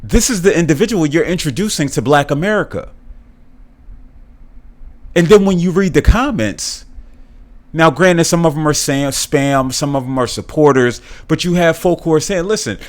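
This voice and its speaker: A man's voice: animated, normal speed. Earnest man giving solo podcast commentary. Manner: earnest, repeats and restarts words, rises in pitch when stressing points. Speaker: podcast host.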